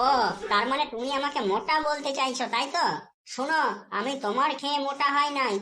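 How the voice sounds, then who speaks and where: High-pitched nagging cartoon housewife voiced by a woman. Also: fairly quick, crowd noise, close to an everyday voice. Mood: irritated, offended. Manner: scolding, sarcastic, accusatory. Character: nagging, suspicious, quick-to-take-offence Bengali housewife. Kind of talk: comedy cartoon dialogue.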